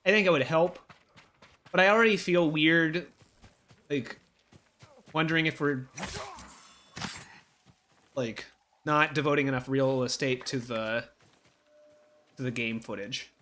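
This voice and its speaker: Co-host for a let's play: young man, fairly high voice, rambling and hesitant. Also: unhurried, pauses often, fairly bright tone. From Ohio, USA. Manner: rambling, hesitant, many fillers and restarts. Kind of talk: casual gameplay chat.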